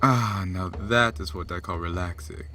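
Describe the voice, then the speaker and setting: A man's voice: moderate pace, pushed and bright. A man playing a big, easygoing, jovial god in an audio drama, with a hearty, teasing manner. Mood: relaxed, mellow. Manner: booming, laughing, leisurely teasing.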